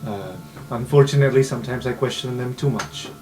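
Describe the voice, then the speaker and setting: An earnest academic acted by a man in an improvised tabletop game. He speaks formally and deliberately, wary yet loyal, with faint music underneath. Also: mid-range voice, darkish tone, close to an everyday voice, poor audio. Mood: thoughtful, dry. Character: earnest, conscientious male history professor, wary but loyal.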